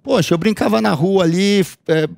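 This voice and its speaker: A middle-aged man from São Paulo with a Paulistano accent, a guest answering interview questions thoughtfully, pausing and restarting his sentences. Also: sits high, gravelly, a little mellow, dry recording. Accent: Paulistano Brazilian Portuguese. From São Paulo, Brazil. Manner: thoughtful, halting, restarts often. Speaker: guest, sales and operations director.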